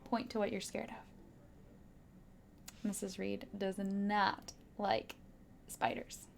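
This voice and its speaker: A woman teacher reading a children's picture book aloud with dramatic emphasis and hushed asides to young listeners. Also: mid-range voice, little pitch movement, takes it slowly, vocal fry, slight background noise.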